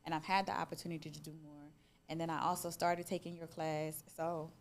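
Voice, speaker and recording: A woman with a slightly low voice, hosting a live interview. She talks in an animated, conversational way and shows appreciation as she goes. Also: a little fast, a little hiss or hum. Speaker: interviewer and host.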